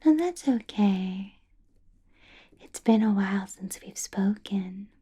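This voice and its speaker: Slow, whispering vampire boss played by a woman. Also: mid-range voice, lively intonation, mellow, exaggerated voice. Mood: coolly knowing. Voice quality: breathy whisper. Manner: slow, deliberate, teasing, with lingering pauses. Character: centuries-old female vampire boss, menacing, toying, falsely pleasant. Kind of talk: whispered ASMR roleplay, personal attention.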